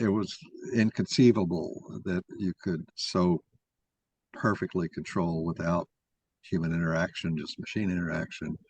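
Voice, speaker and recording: A man's voice: deep voice, vocal fry, husky, no echo. A man giving an explanatory talk in an opinionated, didactic way, taking questions as he presents his argument.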